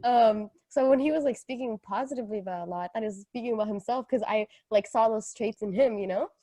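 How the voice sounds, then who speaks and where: A woman's voice: a little high, fast talker, warm tone, no room sound. Young woman chatting casually, dry close sound. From Kenya. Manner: informal slangy talk, jokey. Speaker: podcast co-host. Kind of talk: casual chat, personal storytelling.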